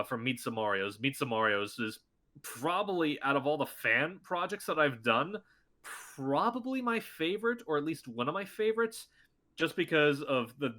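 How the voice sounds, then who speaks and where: A man's voice: medium pitch, pushed and bright. A man talking as a guest voice actor in a casual podcast interview, chatty and rambling with many restarts.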